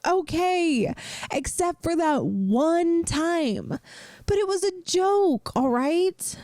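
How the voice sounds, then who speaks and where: Woman playing caring young female best friend, high-pitched, soft-spoken ASMR roleplay, earnest, hesitant, reassuring, unhurried, close dry sound. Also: expressive, dry recording, full character voice. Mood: earnest, affectionate.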